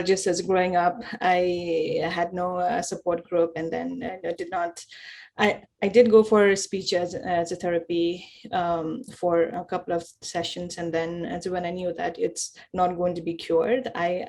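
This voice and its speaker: A woman, speaking haltingly with many fillers and repeated words on a video call. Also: lowish voice, vocal fry, darkish tone. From Nepal. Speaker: workshop participant. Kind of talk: casual remarks in a group call.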